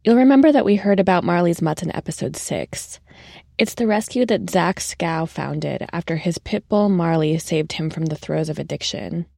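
A woman's voice: lowish voice, normal speed, creaky, dark tone, dry recording, clean studio sound. A woman hosting a podcast, asking questions and narrating between interview segments. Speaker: podcast host.

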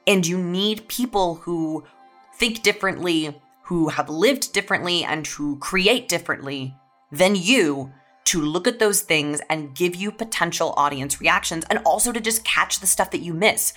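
A woman's voice: fairly low pitch, expressive, moderate pace, fairly bright tone, soft background music. A woman speaking as a writer guest in a casual panel discussion, emphatically repeating words for stress. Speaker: podcast guest, audio fiction writer.

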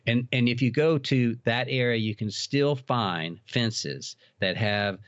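A man's voice: mid-range voice, takes it slowly, smooth. A man talking casually as an interview guest, telling rambling, enthusiastic anecdotes. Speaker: guest, collector and writer. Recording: dull, roomy sound.

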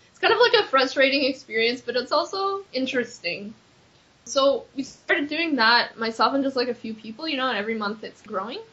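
A woman's voice: high voice, lively intonation, a little fast, fairly bright tone. Chatty young woman, lo-fi. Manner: earnest, chatty, frequent "like" fillers. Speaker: accessibility activist. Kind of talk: casual interview answers. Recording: slightly dull lo-fi recording.

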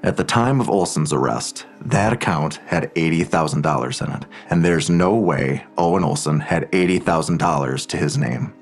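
An actor playing a protective, secretive man digging into a crime, speaking in a measured, reassuring way in an audio drama. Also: extremely low pitch, soft background music. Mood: intent, explaining.

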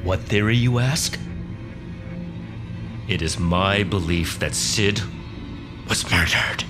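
An actor playing a pompous, theatrical office boss in an audio drama, speaking gravely with dramatic pauses. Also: male voice, deep voice, music in the background. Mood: grave, accusing.

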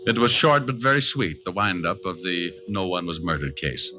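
An actor playing a wisecracking, flirtatious private detective in a wry 1940s radio style. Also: male voice, sits low, sing-song, moderate pace. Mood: sardonic. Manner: wry, sardonic. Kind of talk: 1940s radio detective drama, first-person narration. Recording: dull old radio recording, music behind.

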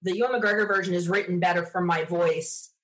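A woman's voice: lowish voice, steady tone, brisk, poor audio. A woman from the United States chatting enthusiastically as a podcast interview guest, telling stories in a casual way. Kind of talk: casual interview chat, animated anecdotes.

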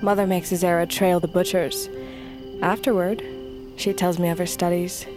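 An actress as a young warrior woman of a fantasy tribe, self-assured and teasing. She speaks in measured, old-world phrases, in the manner of a cinematic audio drama, with music beneath her. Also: on the low side, little pitch movement, natural voice. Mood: calm, knowing.

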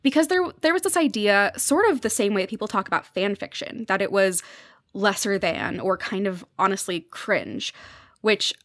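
A young woman with a medium-pitched voice speaks as a guest in a podcast interview. She explains her points conversationally and with enthusiasm. The sound is dry, with very little room in it. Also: expressive, normal speed, a bit bright, no echo. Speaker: podcast guest, journalist covering fiction podcasts.

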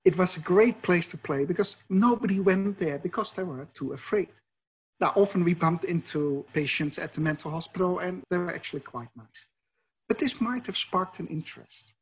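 A man's voice: high voice, animated, moderate pace, husky, poor audio. A middle-aged man from the Netherlands with a Dutch accent, a professor answering interview questions in a thoughtful, explanatory way. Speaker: professor and researcher.

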